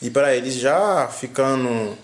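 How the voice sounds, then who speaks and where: A man's voice: mid-range voice, a little slow, echoey room. A man explaining the show's plans in a casual way.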